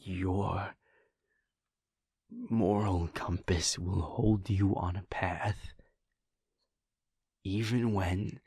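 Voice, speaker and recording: A man as a husky, gravelly werewolf boyfriend. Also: low-pitched, fairly even intonation, extremely slow, long pauses, no echo, studio quality, full character voice. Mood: tender, worried. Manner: soft, half-whispered, earnest. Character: caring young adult male werewolf boyfriend. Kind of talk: audio roleplay.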